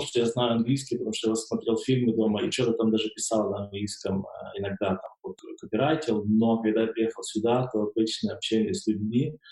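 A man's voice: fairly low pitch, a little mellow, roomy sound, rough recording. A man who works as a stand-up comic and screenwriter, chatting casually as a guest over a video call, hesitant, with lots of fillers and restarts. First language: not English.

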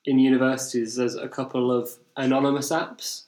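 A man's voice: mid-range voice, level tone, brisk, darkish tone. Young adult man, English accent, casual anecdote teller, podcast host, echoey room. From England. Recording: roomy, echoing indoor recording.

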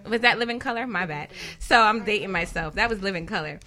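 Female radio co-host, financial advisor type. Bright, forward voice, conversational explainer, poses questions to the listener. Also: mid-range voice, quick pace.

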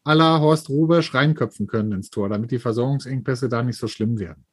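A man's voice: medium pitch, a little fast, husky. A talkative man co-hosting a podcast, giving business advice with sports comparisons. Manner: lively, talkative, uses sports analogies. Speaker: podcast co-host. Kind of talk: casual business chat.

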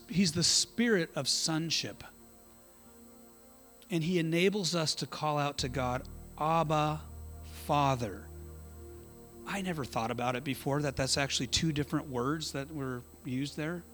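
A middle-aged male pastor gives an informal, conversational sermon in a slightly high voice. He is folksy and often breaks off for joking asides. Faint music plays behind him. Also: a bit bright. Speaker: pastor preaching at an evening church service.

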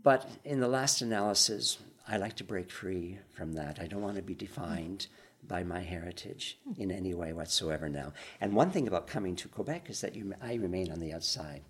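A man's voice: sits low, animated, a little fast, slightly rough. An older man with Romanian as his first language, talking with another writer in a thoughtful way, with restarts and chuckles. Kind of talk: conversation between writers.

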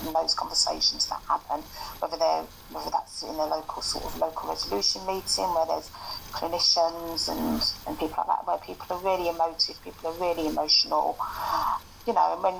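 Male interviewee, calm, gravelly and bright tone, faint noise behind. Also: fairly high pitch, rough recording. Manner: calm, hesitant, many fillers. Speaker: advocate. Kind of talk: casual interview answers.

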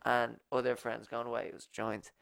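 Young Irish guy, nasal and gravelly, smooth tone, dry close mic; casual podcast host. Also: mid-range voice, level tone, normal speed, fairly bright tone, dry recording. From Ireland. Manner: rambling storytelling with fillers. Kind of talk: casual chat.

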